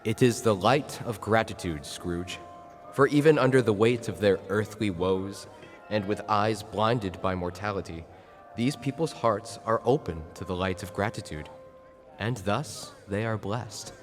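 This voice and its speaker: A man performing a solemn, kindly male spirit in an audio drama. His words are measured and grave, like moral instruction, and ring out in a long reverb. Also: on the low side, soft background music, lightly acted. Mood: solemn, gentle.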